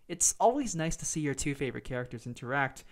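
A man's voice: medium pitch, big pitch swings, fast. A man hosting a video game review, talking in a casual, opinionated way with conversational, emphatic phrasing.

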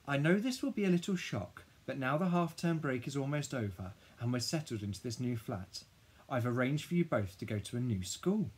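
A gentle, placating father from a children's storybook , played by a male narrator. He sounds husky and careful, yet stays firm. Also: mid-range voice, expressive, brisk. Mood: uneasy, placating. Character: boy's father, middling adult, gentle but firm. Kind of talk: children's audiobook character dialogue.

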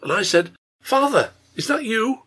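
A man voicing a gentle, pious young girl on her sickbed in a story, speaking quietly and earnestly to her father. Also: on the high side, normal speed, creaky, husky, pitched above the performer's own voice, heavily acted. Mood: intense recounting. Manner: quiet, tender, intent delivery.

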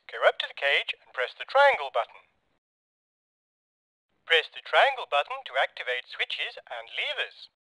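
A man reads short scripted tutorial instructions for a video game. His voice is bright and a little high, with plenty of movement in the pitch. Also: no echo. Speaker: game developer recording placeholder help lines.

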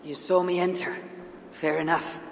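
An actress playing a calm, direct Norse warrior woman in a video game cutscene. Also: on the low side, moderate pace, creaky, in a large hall, a little hiss or hum. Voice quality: plain, direct.

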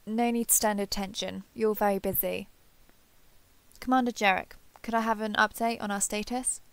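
Creaky female voice, close dry sound, earnest sentimental starship captain, acted by a woman, sci-fi audio drama. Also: medium pitch, steady tone, normal speed, no echo, natural voice. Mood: brisk, courteous. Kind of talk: roleplay audio drama, one-sided dialogue.

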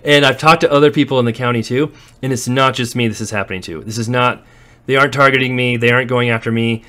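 A man's voice: mid-range voice, steady tone, quick pace, pushed and bright. A man, a landlord, chatting casually as he walks through his properties on a handheld phone camera. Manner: chatty, storytelling, occasional laughs. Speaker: real estate investor and landlord. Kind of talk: walkthrough vlog narration. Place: walking through empty warehouses and outdoors.

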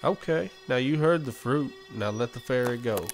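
A man's voice: mid-range voice, lively intonation, moderate pace, faint music behind, lightly acted. A man playing a taunting, crude gang boss in a post-apocalyptic radio play, mocking and swaggering.

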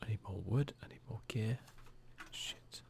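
A man doing hushed, murmured ASMR commentary over a video game, talking to himself close to the microphone. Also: on the low side, a little slow, creaky, background noise. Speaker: gaming video host.

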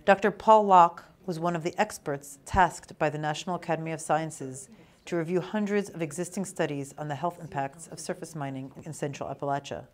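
A woman's voice: sits low, a little fast. A woman, around her thirties, reading scripted documentary narration as a researcher.

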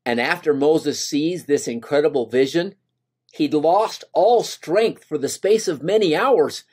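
A man's voice: on the high side, expressive, unhurried, a little mellow, slightly rough. An older man teaching a scripture lesson from a home office on a webcam, earnest and emphatic as he quotes verses. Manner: earnest, voices scripture lines with rising emphasis. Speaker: gospel study teacher. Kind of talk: scripture lesson over slides.

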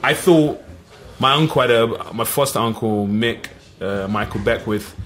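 A middle-aged man with an East London accent, telling lively stories as a podcast guest, full of "you know what I mean". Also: on the low side, unhurried. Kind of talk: casual storytelling.

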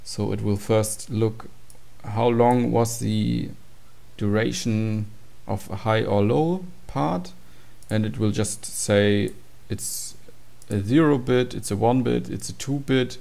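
A man's voice: on the low side, slow, gravelly, dark tone. A man giving an informal, matter-of-fact tutorial walkthrough of program code. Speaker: tutorial presenter.

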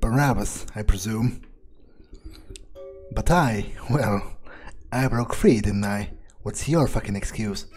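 A man giving in-character game playthrough commentary, smug, sardonic and profane, musing haltingly into a close microphone. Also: fairly low pitch, darkish tone, soft background music. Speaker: gamer role-playing his character.

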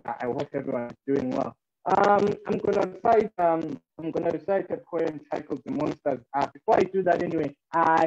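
A man's voice: a little high, vocal fry, a little mellow, dull audio. A young man with a Zimbabwean accent, a poet speaking casually and repeating his words on an internet video call. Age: young adult. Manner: repeats words, friendly greetings. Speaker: poet and rapper. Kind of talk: casual introduction before reciting.

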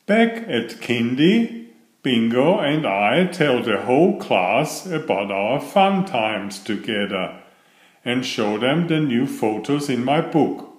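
A man reads a picture book aloud with an expressive, animated delivery. His pace is slow and deliberate, and the room around his voice is noticeably echoey. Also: mid-range voice. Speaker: children's book reader.

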